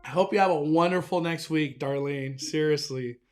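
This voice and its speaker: A young man hosting a casual live stream, excitable and enthusiastic, often stumbling and restarting his sentences. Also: a little high, normal speed, faint music behind. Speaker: livestream host.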